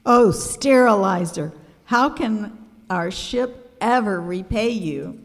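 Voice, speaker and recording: Wide-eyed ship's captain female amateur, comic radio skit, swinging intonation, unhurried pace, hall reverb. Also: mid-range voice, lively intonation. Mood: grateful. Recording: reverberant hall, live recording.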